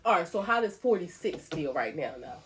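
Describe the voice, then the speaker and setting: A woman co-hosts a podcast in casual chat, her voice lively and full of rises and falls. She talks in a supportive, teasing way. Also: lowish voice, brisk, slight background noise. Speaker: podcast co-host.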